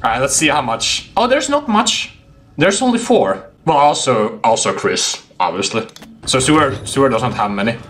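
A man's voice: medium pitch, expressive, moderate pace, fairly bright tone. A man in his twenties giving casual, exclamatory live gameplay commentary and talking to his chat. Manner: reactive, exclamatory, talks to chat. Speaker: game streamer. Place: small home room, indoors. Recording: clear background game noise.

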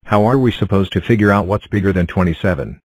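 Low-voiced man reading cartoon lines. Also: quick pace, darkish tone, no echo. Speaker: narrator voicing number characters. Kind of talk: fan cartoon voice-over.

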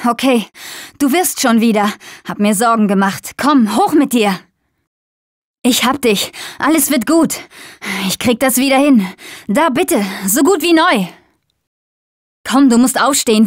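A young female zombie-apocalypse survivor from a video game, acted by a woman, with a high voice. She talks tough but cares for her team, and delivers her short lines at an unhurried pace. The sound is dry and close. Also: no echo, lightly acted.

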